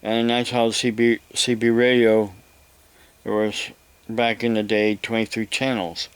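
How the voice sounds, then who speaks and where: A man giving a rambling, casual explanation to viewers, halting and repeating himself as he goes. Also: fairly low pitch, flat delivery, smooth, no echo. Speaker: vlogger.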